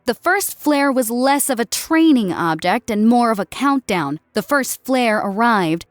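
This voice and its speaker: A woman's voice: fairly high pitch, dry recording. A woman reading scripted story narration dramatically as an audiobook narrator.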